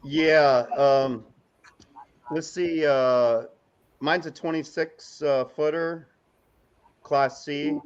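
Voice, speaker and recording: Slow-talking man on lo-fi audio. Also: fairly high pitch, fairly even intonation, clear, resonant tone. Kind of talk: casual livestream chat. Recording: dull lo-fi livestream audio.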